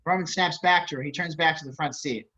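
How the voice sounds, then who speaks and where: Male stage-directions reader, slightly high voice, scripted narration, lo-fi online call sound. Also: quick pace, bright, forceful tone. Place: indoors, on a video call. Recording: dull video-call audio.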